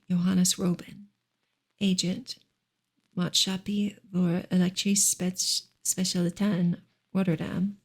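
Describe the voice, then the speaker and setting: A woman reads a novel aloud in a soft, hushed ASMR style, sometimes close to a whisper. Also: on the low side, vocal fry, husky. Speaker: reader on an ASMR channel. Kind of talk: soft ASMR audiobook reading.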